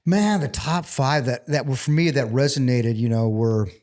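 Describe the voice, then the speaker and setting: A man in his fifties relaxes into a long anecdote, sprinkling his talk with "you know" and loose restarts. His voice sits at an ordinary middle pitch, and he tells his stories with easy animation. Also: moderate pace. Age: 50s. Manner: animated storyteller, chatty with lots of "you know". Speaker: guest, former pro wrestler. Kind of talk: casual anecdotal storytelling.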